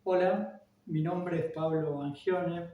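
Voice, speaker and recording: Slow-talking Argentine man, hesitant. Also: a little high, fairly even intonation, warm tone, distant mic. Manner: hesitant, many fillers and restarts. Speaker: interviewee. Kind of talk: relaxed personal recollection. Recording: roomy echo, voice off-mic, faint ambience.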